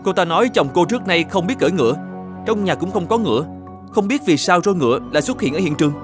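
A quick, high-voiced dutiful officer. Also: male voice, expressive, music in the background. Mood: dutiful, earnest. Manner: deferential, brisk reporting. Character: dutiful, deferential male officer serving the governor. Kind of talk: animated folk tale dubbing.

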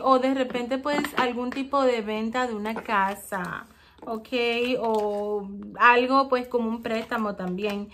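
A woman giving a tarot reading for a zodiac sign, talking in a halting way with many fillers and checking in with the listener. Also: a little high, fairly even intonation, fairly bright tone, slight background noise. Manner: hesitant, many fillers and ¿ok? tags. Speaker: tarot reader.

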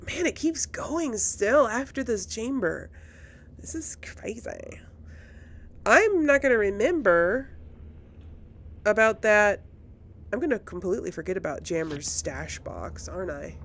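A woman's voice: medium pitch, gravelly, fairly bright tone, a little hiss or hum. A woman giving casual gameplay commentary, thinking aloud and often questioning herself as she goes. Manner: musing, self-questioning. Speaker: let's play host.

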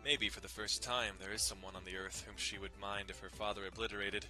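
A man's voice: fairly low pitch, level tone, a little fast, bright, forceful tone. A young man giving a dramatic reading of a visual novel, narrating and tossing in casual, irreverent asides, with music behind him. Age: young adult. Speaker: dramatic reader.

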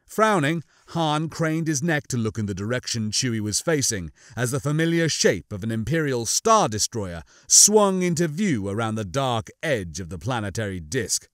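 A man's voice: mid-range voice, very animated, normal speed, few pauses, a bit bright, no room sound, studio quality. A man giving a dramatic scripted narration of a science fiction audiobook.

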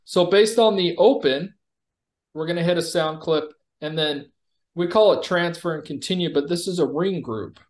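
A man giving a conversational product demo over a video call, explaining like a company executive presenting software. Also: fairly high pitch, moderate pace.

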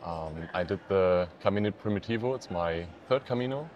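A man's voice: low-pitched, brisk, highs cut off, a little hiss or hum. A man in his late twenties from Hamburg, a German native speaker, answering simply and hesitantly outdoors on a lapel mic. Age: 20s. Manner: hesitant, simple phrasing with fillers. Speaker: interviewee, pilgrim. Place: outdoors under a stone arcade.

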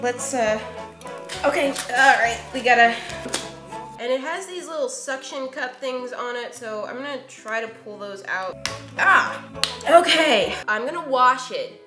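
A woman in her twenties hosting a casual, chatty baking vlog, with a self-deprecating, playfully exasperated way of talking. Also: on the high side. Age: 20s. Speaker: host of a baking video series. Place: home kitchen, indoors. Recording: roomy kitchen echo. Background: background music.

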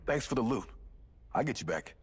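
A man's voice: medium pitch, lively intonation, a little fast, natural voice. A man playing an earnest, good-natured heroic soldier in a video game, trading short combat banter. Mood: warm teasing. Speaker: video game character voice actor. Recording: game sound effects and clicks behind. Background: gameplay noise.

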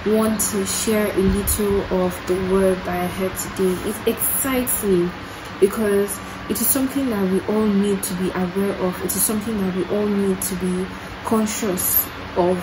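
Nigerian woman vlogging over clear background noise. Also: medium pitch. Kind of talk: casual chat to camera. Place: bedroom and living room at home. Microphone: phone at arm's length. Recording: noisy room ambience.

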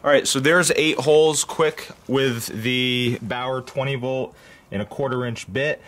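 A man's voice: mid-range voice, steady tone, a little slow, a bit bright, slight background noise. A man giving a casual, plainspoken tool review, with frequent ums and uhs. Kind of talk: casual product review voice-over.

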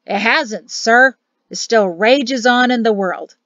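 A proud old man blusters emphatically and gruffly, as a woman narrator plays him in an audiobook. The voice is loud, in a close but slightly dull recording. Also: a little high, takes it slowly, no room sound. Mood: indignant, blustering. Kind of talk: acted audiobook dialogue.

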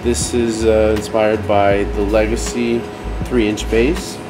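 A man in his forties giving a casual product demonstration in halting phrases with pauses. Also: fairly low pitch, flat delivery, music in the background. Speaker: company product presenter. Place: indoor set with brick wall, seated at table.

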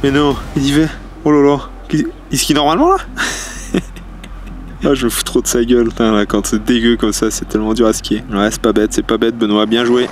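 Excited young man calling ski runs. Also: mid-range voice, a little fast, a little hiss or hum. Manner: animated, swearing, teasing friends. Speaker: freeride ski vlogger commenting a competition. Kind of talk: excited live commentary. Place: outdoors on a snowy mountain. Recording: music playing underneath.

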